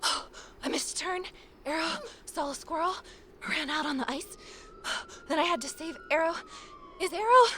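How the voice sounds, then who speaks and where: A woman's voice: very high voice, expressive, unhurried, bright, forceful tone, slight background noise, full character voice. A woman playing a plucky, wry young former journalist in an audio drama, speaking conversationally. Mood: exhausted, shivering. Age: young adult. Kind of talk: first-person audio drama narration and acting.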